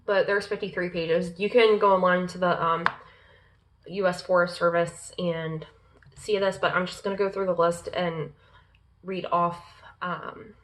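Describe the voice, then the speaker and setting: Woman slowly reading a list, halting. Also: fairly low pitch, flat delivery, background noise. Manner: halting, with many ums and uhs, stumbling over names; slow, careful reading pace. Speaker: pagan lifestyle vlogger. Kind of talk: reading a long list aloud. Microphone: laptop in front of her.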